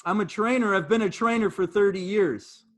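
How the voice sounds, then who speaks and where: A middle-aged man hosts a casual talk in an earnest, reflective way. His voice sits high for a man, and he often circles back over his words. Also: normal speed. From Jamaica, raised in Canada. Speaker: fitness trainer and host. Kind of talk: casual conversational interview.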